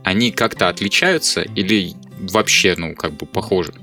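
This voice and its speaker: Bright, joking male radio host. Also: sits low, normal speed, faint music behind. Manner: joking, teasing. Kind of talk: radio interview, casual.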